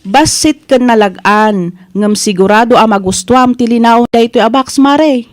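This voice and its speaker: A woman playing a composed, playfully secretive village woman in a comedy radio drama, with a Filipino accent and a teasing, unhurried manner. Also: on the high side, no room sound, natural voice.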